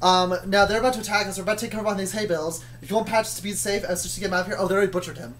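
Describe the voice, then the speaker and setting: A woman playing a stubborn, matter-of-fact soldier in improvised comedy, arguing in a rambling, deadpan way. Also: mid-range voice, rapid-fire, natural voice. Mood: brisk, unbothered.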